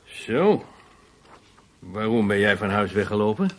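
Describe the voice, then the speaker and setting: A man playing an older police officer in a radio play, questioning in a curt, measured way. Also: fairly low pitch, big pitch swings. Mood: stern, gruff. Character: older male police officer behind a desk, curt, unhurried. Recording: noisy old radio play recording.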